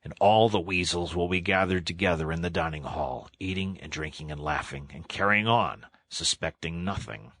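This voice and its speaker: A man voicing an older, commanding badger with a dry, measured manner in a children's storybook. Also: deep voice, a bit bright, no echo. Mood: measured, scheming.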